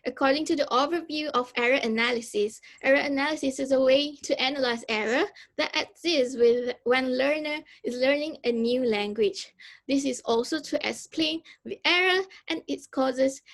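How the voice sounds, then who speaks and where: A young Malaysian woman, a student whose first language is Malay, giving an academic presentation with many fillers and restarts. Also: high-pitched. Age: young adult.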